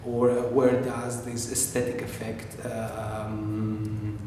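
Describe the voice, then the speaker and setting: A man who is not a native English speaker, speaking thoughtfully about theater on a panel, halting with many fillers and repeats. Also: lowish voice, flat delivery, dark tone, a little hiss or hum. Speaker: theater maker. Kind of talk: panel discussion. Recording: echoey hall, far from mic.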